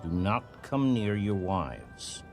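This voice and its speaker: Casting: solemn leader-prophet for Bible audio drama, slow, very animated intonation. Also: male voice, lowish voice, music in the background, heavily acted. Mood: grave.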